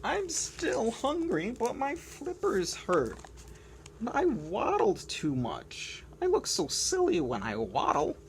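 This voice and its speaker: Lady putting on a complainy little penguin, whiny, pitch jumping all over, kinda slow. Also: huge pitch swings, creaky, slight background noise, heavily acted. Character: grumpy whiny young penguin. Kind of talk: picture book read-aloud.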